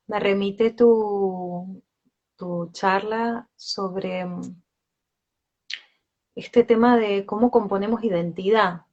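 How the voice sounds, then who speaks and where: Slow-speaking woman in conversation. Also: medium pitch, a little mellow, lo-fi sound. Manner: slow, measured, pausing. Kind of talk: reflective conversational talk.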